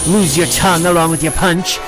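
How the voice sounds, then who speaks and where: An actor playing a boastful, taunting supervillain with electric powers in an animated superhero comic. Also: male voice, on the high side, a little fast, music in the background, natural voice.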